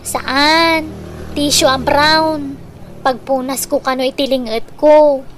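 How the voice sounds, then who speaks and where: A woman's voice: high-pitched, steady tone, slow pace, a bit bright, soft background music. A woman playing a reluctant, embarrassed young daughter in a comedy radio drama, protesting whinily with an Ilocano accent. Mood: puzzled.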